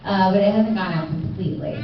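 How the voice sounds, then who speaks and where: A woman's voice: medium pitch, fast talker, highs cut off. A woman voice actor chatting on a convention panel, playful and laughing often. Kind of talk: casual panel chat. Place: large convention hall with audience. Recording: echoey convention hall from far off.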